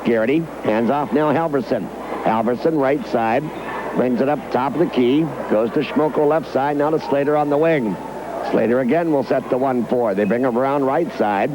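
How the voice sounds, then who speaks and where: A man's voice: mid-range voice, normal speed, background noise. A man doing live radio play-by-play of a basketball game in clipped, telegraphic phrases, on a local 1990s broadcast. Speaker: radio play-by-play sports announcer. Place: indoor gymnasium fieldhouse.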